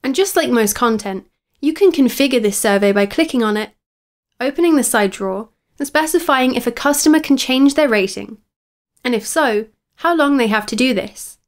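Female tutorial narrator, somewhat high register, scripted instructional read, clear step-by-step delivery. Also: animated, normal speed. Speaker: software tutorial presenter.

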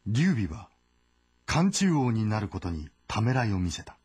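A man giving solemn, measured scripted narration for a historical game drama. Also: fairly low pitch, big pitch swings, darkish tone, breathy edge, dry recording.

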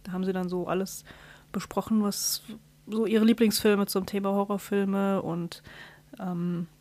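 A woman's voice: medium pitch, flat delivery, measured pace, darkish tone. A woman co-hosting a podcast, chatting casually and explaining things while searching for her words. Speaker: podcast co-host.

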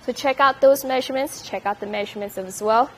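A young woman walks through a product demonstration in an upbeat, explanatory way, her voice sitting a little high. She handles the gear as she talks in a large, bright indoor studio, picked up by the camera mic. A faint hum of room ambience sits underneath. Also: fast. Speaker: product reviewer.

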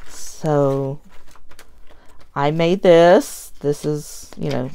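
A woman's voice: deep voice, very slow, mellow, clear, resonant tone. A middle-aged American woman with a scratchy voice, chatting casually and a bit ramblingly as she hosts a show-and-tell vlog. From United States. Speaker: host of a planner flip-through vlog. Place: indoors at a tabletop. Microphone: tablet filming from above the table.

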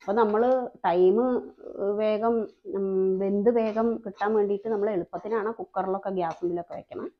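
A woman's voice: mid-range voice, steady tone, brisk. Woman, cooking voice-over, friendly explainer, slightly dull lo-fi sound. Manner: chatty, explains each step, frequent tag questions. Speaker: cooking channel host.

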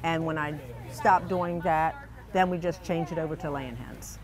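A woman's voice: deep voice, steady tone, normal speed. A woman in her sixties, an egg farmer, talking in a chatty, down-to-earth way at an outdoor market. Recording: crowd chatter behind. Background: market crowd.

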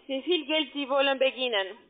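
A woman with a foreign accent, not a native speaker, giving a phone sales pitch as a call centre agent in halting, broken phrasing. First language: not German. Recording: muffled tinny phone line.

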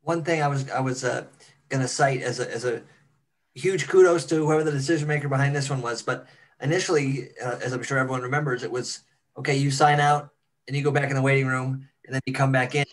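A man's voice: mid-range voice, fairly even intonation, fast, low-quality recording. A man chatting casually on a panel as a cast member, with wry, clipped asides. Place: indoors on a video call. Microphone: computer mic on video call.

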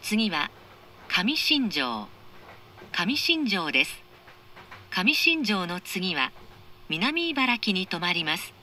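A woman making formal, measured, carefully articulated scripted train announcements over an onboard speaker. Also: lowish voice, very animated, pushed and bright, a little hiss or hum. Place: recorded inside a train carriage.

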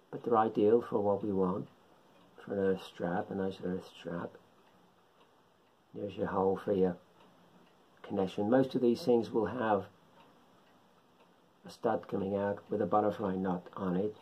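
A middle-aged man with a husky voice gives an informal beginners' tutorial, explaining haltingly in short phrases at home. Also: lowish voice, muffled recording. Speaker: hobbyist radio instructor. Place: home living room.

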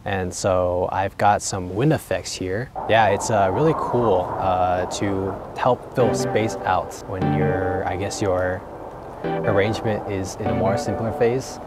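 A man's voice: deep voice, steady tone, slow pace. A young man casually explaining his songwriting outdoors, thinking aloud with fillers. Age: young adult. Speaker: vlogger and songwriter. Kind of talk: casual explanatory vlog talk. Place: outdoors by a lake in winter. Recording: background music under voice.

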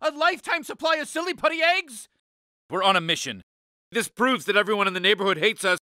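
An uptight, pompous male alien who leads a spaceship crew in a cartoon game. His voice is high and intensely sharp, swinging widely in pitch. Every phrase comes out emphatic and exasperated, full of grumpy self-importance. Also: animated, fairly quick, dry recording, clean studio sound, full character voice. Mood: pompous, insistent. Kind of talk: animated cartoon game voice acting.

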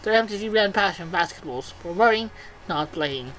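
A woman's voice: on the low side, animated, normal speed, fairly bright tone, poor audio. A woman voicing a vain, gushing showbiz robot who presents TV news in a video game, with theatrical announcer phrasing. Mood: showy, gushing.

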